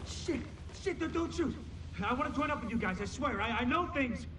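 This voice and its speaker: High, frantic street captive. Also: male voice, expressive, a little fast, background noise. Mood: pleading, frightened. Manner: frantic, stammering. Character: desperate, foul-mouthed male street captive.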